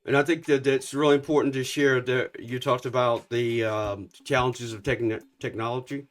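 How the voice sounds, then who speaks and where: This is a man co-hosting a talk show who works as a youth coach. His voice is at a medium pitch, and he talks in a steady, affirming way. Faint music plays underneath him. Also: moderate pace. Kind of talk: conversational talk.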